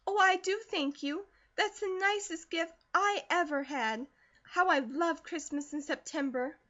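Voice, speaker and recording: Woman playing a gushing teenage girl, high, breathless, effusive, muffled lo-fi sound. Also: a little fast, fairly bright tone. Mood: overjoyed. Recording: dull lo-fi recording.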